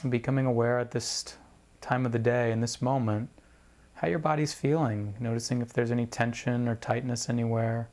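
A man leading a guided meditation as a teacher, speaking in a measured, deliberate way with pauses between phrases. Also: medium pitch, flat delivery, darkish tone.

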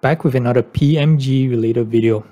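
A man's voice: mid-range voice, a little fast, dark tone. A man hosting a YouTube video, giving scripted commentary in an informal, matter-of-fact way. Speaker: YouTube channel host on card investing.

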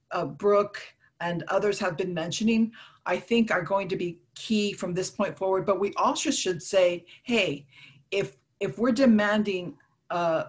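A middle-aged woman, a community organizer, speaking earnestly in a panel discussion over a video call on an earbud headset. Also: medium pitch. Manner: earnest, thoughtful, with repeated restarts. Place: room at home, orange wall.

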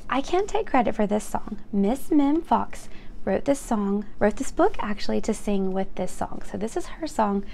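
A woman's voice: mid-range voice, creaky, darkish tone, slight background noise. A woman in her thirties reading a picture book aloud for very young children, encouraging them and addressing them directly. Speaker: children's read-aloud host.

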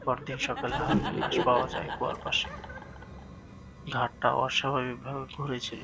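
A man's voice: medium pitch, completely flat delivery, normal speed, creaky. A man narrating a scripted horror story in a dramatic, suspenseful way as the storyteller.

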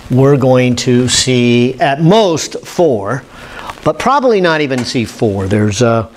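A man, giving an informal, halting lab demonstration as an instructor, thinking aloud. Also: mid-range voice. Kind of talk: informal demonstration lecture at a whiteboard. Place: indoor classroom lab. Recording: steady room noise, classroom camera audio.

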